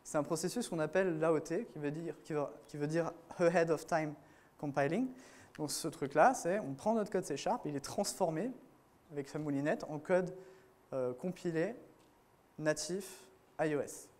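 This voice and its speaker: A man's voice: on the high side, moderate pace, a little mellow. A man giving a casual technical talk to a room of developers at a conference, with many fillers and restarts. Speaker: conference speaker.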